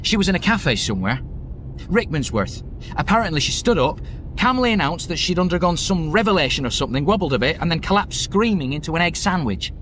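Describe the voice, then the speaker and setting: Casting: gruff, impatient British motorist, highish voice, lively delivery, faint engine noise. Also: male voice, brisk, poor audio. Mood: sardonic, recounting. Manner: blunt, grumbling. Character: gruff, impatient, exasperated male driver. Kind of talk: radio comedy drama acting. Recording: faint vehicle noise behind. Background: car interior noise.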